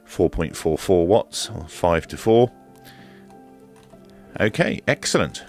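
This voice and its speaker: A man giving a hands-on equipment review in a measured, matter-of-fact, explanatory way. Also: low-pitched, expressive, creaky, a little mellow, faint music behind. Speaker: presenter of a radio equipment review. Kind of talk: hands-on demonstration and review commentary.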